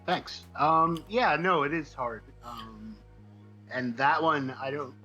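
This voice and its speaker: A man chatting casually as an interview guest, halting and self-deprecating as he thinks out loud. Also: on the high side, dull audio, soft background music. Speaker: guest, comic book writer.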